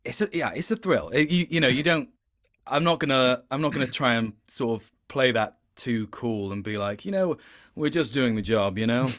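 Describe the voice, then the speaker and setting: A man with a mid-pitched voice talks casually as an interview guest. He is reflective and halting, often restarting his phrases as he finds the words. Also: fairly bright tone. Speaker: interview guest, video game voice actor.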